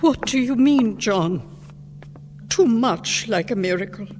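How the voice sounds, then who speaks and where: An actress in a radio drama playing a devoted, hopeful elderly wife, tender and full of wonder. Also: female voice, a little high, lively intonation, slow, a little mellow. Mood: awed. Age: older. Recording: music bed under the voice.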